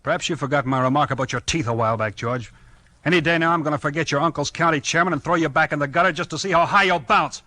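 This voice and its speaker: An actor playing a gruff, tough and weary small-town police chief, terse and bossy, in 1940s radio crime drama style. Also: male voice, on the high side, fast, sharp tone. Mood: angry, threatening.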